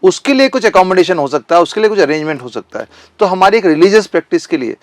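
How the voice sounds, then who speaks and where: A man's voice: high-pitched, very animated, fast talker, no echo. A man speaking as an advocate in an interview, insistent and repeating phrases for stress. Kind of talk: impassioned opinion interview.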